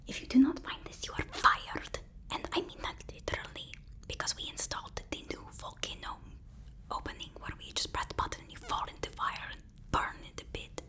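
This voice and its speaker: A woman playing a nervous, fawning personal assistant to a super villain in an ASMR roleplay, soft-spoken, deferential and hesitant. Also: fairly quick, lightly acted. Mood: menacing, prim.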